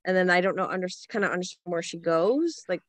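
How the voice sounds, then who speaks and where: A woman with a fairly low voice talks casually as a panellist. She is halting and tentative, and the sound is dry. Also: animated, no room sound. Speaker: script reading panellist. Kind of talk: casual spoken feedback.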